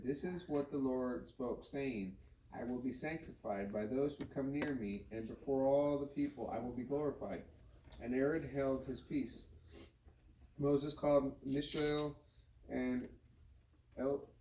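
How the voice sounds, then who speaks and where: A man reads scripture aloud in a halting way, stumbling over words and starting them again. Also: medium pitch, warm tone. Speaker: Bible reader. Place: home living room. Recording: roomy, distant mic, faint noise.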